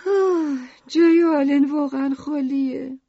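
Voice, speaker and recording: A woman's voice: very high voice, warm tone, dry recording, dull audio. A woman playing an anxious, easily upset wife and mother in an acted audio story, with dramatic, emotional delivery. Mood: wistful.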